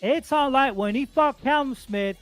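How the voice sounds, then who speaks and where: A man's voice: extremely high pitch, moderate pace, fairly bright tone, dry recording, faint music behind. A man in his forties arguing boxing, insistent, repeating his points and stumbling over words. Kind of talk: heated boxing argument.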